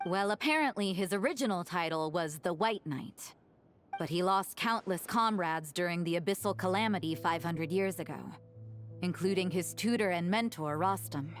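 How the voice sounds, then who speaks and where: An actress playing a composed, wry woman in a fantasy game, recounting legends in a measured way. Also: mid-range voice, close to an everyday voice. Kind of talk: scripted game dialogue. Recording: game music behind the voice.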